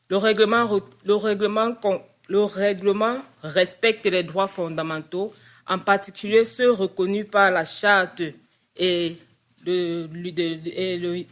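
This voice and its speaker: Halting woman reading legal text. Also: lowish voice, a bit bright. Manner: halting, with restarts over legal wording. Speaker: speaker at a parliamentary meeting. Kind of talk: reading a prepared legal statement.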